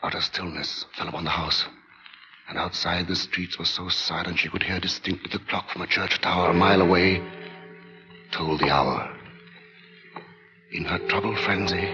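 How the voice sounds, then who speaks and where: An actor narrating a 1940s radio thriller in a measured, grave and ominous manner. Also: male voice, sits low, natural voice. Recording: dull vintage radio recording with music.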